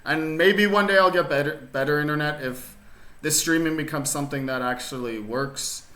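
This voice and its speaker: A man's voice: fairly high pitch, normal speed, bright, forceful tone. A man chatting casually with viewers while streaming a chess game from his rural desert home, rambling and laid-back, full of fillers and restarts. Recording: roomy echo.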